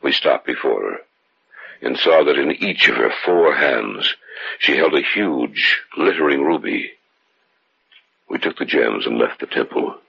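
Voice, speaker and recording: Very deep-voiced haunted adventurer. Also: male voice, animated, gravelly, full character voice. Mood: ominous, haunted. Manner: grave, deliberate, dramatic. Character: haunted, fearful male adventurer recounting a deadly curse. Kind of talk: old-time radio drama acting, suspense narration. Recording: muffled, very noisy old radio recording.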